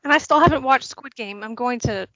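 Higher-voiced woman explaining on a podcast. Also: brisk, no room sound. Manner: explanatory, steady, with small laughs. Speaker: podcast co-host. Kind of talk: casual chat. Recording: dull, lo-fi dry sound.

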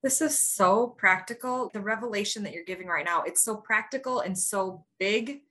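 A woman's voice: mid-range voice, moderate pace, sharp tone. A woman hosting a faith-based interview, affirming her guest and asking thoughtful questions. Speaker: podcast host.

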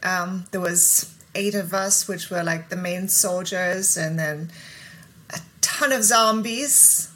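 Bright-voiced chatty woman guest. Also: fairly low pitch, takes it slowly, a little hiss or hum, poor audio. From Germany. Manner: friendly, chatty, with frequent small laughs. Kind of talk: casual interview chat.

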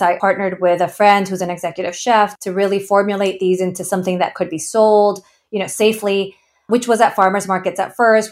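A woman in her thirties, originally from Ecuador, telling her founder story earnestly in an interview and laughing now and then. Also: medium pitch. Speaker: founder guest. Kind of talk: interview conversation.

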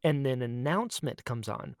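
A woman's voice: very deep voice, a little fast, dry recording, clean studio sound. A woman co-hosting a podcast, telling a story casually and expressively, often acting out her own remarks. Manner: animated, expressive, quotes herself dramatically. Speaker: podcast co-host.

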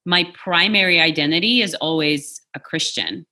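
Woman, from Poland, raised in New York, podcast guest, thoughtful, bright tone. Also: deep voice. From Poland, grew up in New York. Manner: thoughtful, earnest, measured. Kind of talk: casual interview chat.

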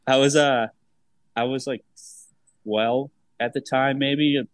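A man's voice: mid-range voice, fairly even intonation, drawn-out words, dry recording. A man in his thirties hosting a podcast in a casual, chatty style. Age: 30s. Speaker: podcast host.

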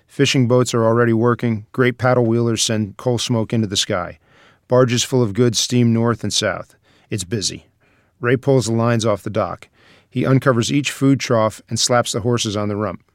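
A man's voice: mid-range voice, normal speed, dry recording, studio quality. A man reading scripted stage directions as the narrator of a screenplay table read.

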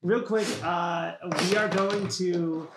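A man with a fairly high voice hosts a casual chat show, putting questions to guests. The room is echoey and other people are audible behind him. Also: steady tone, slow pace, over a noisy crowd. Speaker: podcast host.

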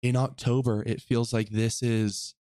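A man's voice: medium pitch, little pitch movement, a little slow, dark tone, clear, resonant tone, dry recording, studio quality. A young adult man hosting a solo podcast, talking earnestly and rambling, often correcting himself mid-sentence. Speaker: podcast host. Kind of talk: reflective solo podcast monologue. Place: lives in the western United States.

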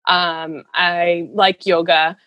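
A woman's voice: on the low side, little pitch movement, extremely slow, no echo, lo-fi sound. A woman speaking casually as an interview guest, earnest and rambling, in a giggly conversational way. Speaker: interview guest, runner and storyteller.

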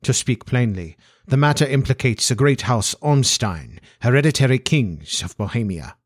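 A man's voice: medium pitch, expressive, normal speed, dry recording, studio quality, close to an everyday voice. A man voicing a large, haughty and agitated Bohemian nobleman in a storybook, speaking in a pompous, formal way. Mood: grave, secretive.

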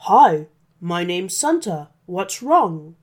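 A woman's voice: lowish voice, sing-song, takes it slowly, a little mellow, full character voice. A woman voicing a jolly, kindly old man with a hearty, reassuring manner in a children's story.

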